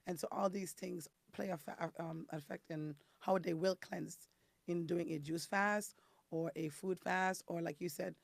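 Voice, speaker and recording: A woman from the Caribbean with a raspy, hoarse voice, talking as a guest and explaining things step by step with frequent ums. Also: fairly low pitch, steady tone, measured pace, no echo. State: hoarse from detox. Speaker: guest, detox specialist. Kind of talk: casual interview talk.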